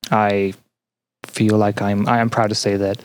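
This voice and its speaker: A young man reflecting earnestly and haltingly as a guest in a podcast interview. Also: lowish voice, level tone, clear, resonant tone. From Canada. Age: young adult. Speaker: game developer and student.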